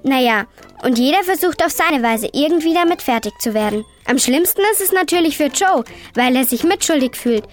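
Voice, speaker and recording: A woman's voice: high voice, animated, a little fast, soft background music. A woman playing a sassy, eager young girl on a scripted children's radio show. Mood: earnest. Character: bossy, chatty young girl recommending books.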